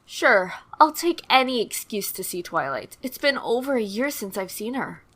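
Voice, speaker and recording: A fairly high, expressive voice performed by a woman for a motherly pony princess in a cartoon audio drama. She sounds gentle, caring and careful, with lots of melodic movement. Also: normal speed. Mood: friendly.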